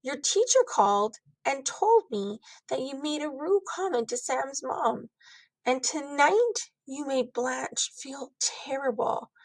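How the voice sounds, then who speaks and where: A woman's voice: high voice, expressive, takes it slowly, dry recording, full character voice, low-quality recording. A stern mother from a children's storybook, as a woman reader puts her on. She scolds her child in a firm, disapproving way, with very little room sound around the voice. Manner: firm, admonishing.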